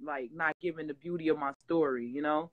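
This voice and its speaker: A woman, telling her story with animated swings as a guest on a video call. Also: low voice, brisk, narrow, tinny audio. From Detroit. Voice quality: rough voice. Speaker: interview guest, former reality-show singer. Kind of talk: casual chat. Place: home room with green wall backdrop, video call.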